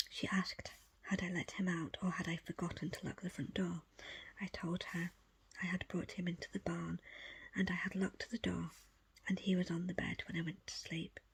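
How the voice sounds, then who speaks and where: A woman reading a book aloud as ASMR, in a breathy, unvoiced whisper with a slow, hushed storytelling delivery. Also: fairly low pitch. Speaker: audiobook reader.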